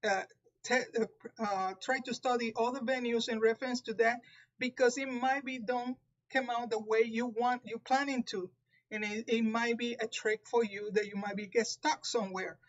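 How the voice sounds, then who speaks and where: A woman's voice: fairly high pitch, steady tone, moderate pace, dull audio. A woman with a Puerto Rican accent, a native Spanish speaker, giving a live tarot reading, talking carefully with frequent restarts. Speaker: tarot reader.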